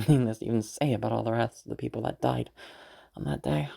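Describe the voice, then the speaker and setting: A woman playing an affectionate, teasing young noblewoman in a roleplay audio drama, speaking haltingly and intimately to her knight. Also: rumbling low, fairly even intonation, creaky, exaggerated voice. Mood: somber, hesitant. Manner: hesitant, halting, with soft chuckles and sighs.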